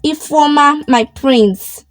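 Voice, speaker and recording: Woman as a timid young orphan maiden, high-pitched. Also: takes it slowly, soft background music. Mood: meek. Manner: hesitant, deferential. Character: meek, gentle orphan maiden, timid and respectful.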